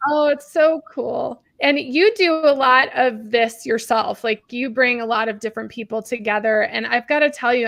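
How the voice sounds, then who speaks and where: A woman hosting a live online show, bubbly and effusive, her voice rising when she gets excited. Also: fairly high pitch, gravelly, no echo. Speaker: host.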